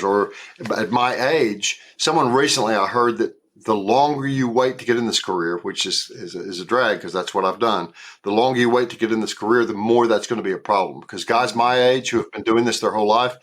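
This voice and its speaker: A man's voice: lowish voice, a bit bright. A man in his sixties with a Southern US accent, an actor talking casually in an interview, often repeating words and restarting. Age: 60s. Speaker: actor guest.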